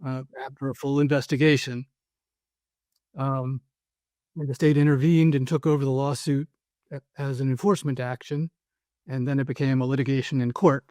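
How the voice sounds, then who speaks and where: A man, a former prosecutor, answering interview questions in a careful, measured way with frequent "um"s. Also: medium pitch, little pitch movement, mellow, no echo.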